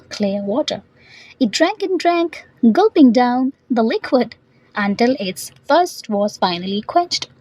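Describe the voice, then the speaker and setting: Female educational narrator, scripted read, raspy edge, animated pitch, dry studio-style sound. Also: no echo, low-quality recording. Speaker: narrator and vocabulary teacher.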